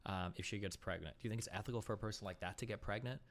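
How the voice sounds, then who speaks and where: A man in an online debate, calmly probing with questions. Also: fairly low pitch, very fast.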